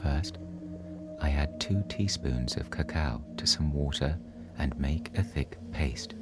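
A man narrating a scripted bedtime sleep story in a soothing, hushed, unhurried storytelling manner. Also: a bass voice. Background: soft relaxation music.

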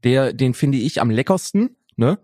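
A man's voice: mid-range voice, expressive, moderate pace, mellow, no echo, clean studio sound. A young adult man co-hosting a podcast in casual chat, rambling on and tagging his lines with "ne?" and "Bruder". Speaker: podcast co-host.

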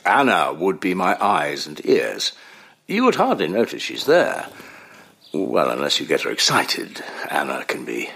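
Elderly male analyst, radio drama. Gravelly, forceful edge, measured formal phrasing, shrewd and assured. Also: sits low, wildly swooping pitch, heavily acted. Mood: persuasive, knowing. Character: elderly male Viennese psychoanalyst, shrewd, courteous, quietly persuasive. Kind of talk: full-cast audio drama acting.

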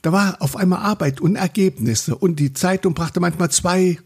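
A man's voice: high-pitched, normal speed, a little mellow, breathy edge. An older man from Saxony, a photographer recalling his past in a conversational interview, rambling and often restarting his sentences. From Saxony, Germany. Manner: rambling, self-interrupting storyteller with many restarts.

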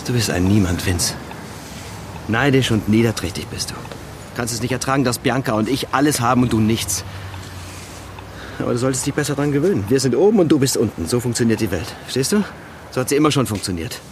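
An actor in an audio drama playing an arrogant, contemptuous, well-off husband who talks in a scornful, taunting way. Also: male voice, mid-range voice, expressive, brisk, close to an everyday voice. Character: arrogant, contemptuous wealthy husband spitting insults at an old friend. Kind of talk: German audio drama acting. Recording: clear noise, outdoor urban ambience.

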